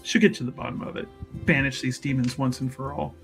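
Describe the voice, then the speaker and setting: A man's voice: mid-range voice, fairly even intonation, fast talker, creaky, faint music behind. A man playing a tabletop roleplaying game, narrating a character casually in a wry, discursive way.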